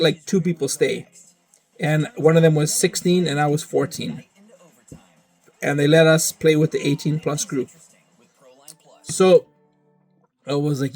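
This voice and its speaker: A man's voice: on the high side, darkish tone. A young man from Canada, talking in a rambling, profane monologue and repeating himself often. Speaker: vlogger. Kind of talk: casual monologue.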